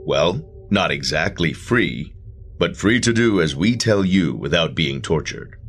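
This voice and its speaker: A man voicing a cool afterlife agent, very deep. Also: animated, unhurried, a little hiss or hum. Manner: cool, matter-of-fact, faintly mocking.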